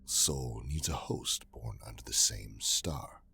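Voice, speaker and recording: An actor playing a ruthless, fanatical mutant ex-commissar in an audio drama, gravelly and ominous, with terse lines that sink into breathy whispers. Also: male voice, very deep voice, takes it slowly, pushed and bright, exaggerated voice. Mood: menacing, fanatical.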